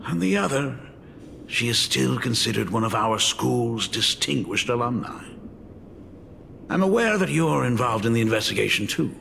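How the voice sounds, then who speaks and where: Expressive, gravelly fatherly dean. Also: mid-range voice, moderate pace, long reverb tail, a little hiss or hum. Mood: formal. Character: doting, fretful middle-aged father and academy dean. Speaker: academy dean. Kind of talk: video game voice acting.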